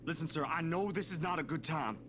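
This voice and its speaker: A man's voice: fairly high pitch, brisk, lightly acted. An actor playing an earnest, agitated young man in a video game, speaking in an urgent, imploring, informal way. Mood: urgent pleading. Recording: very noisy game stream audio.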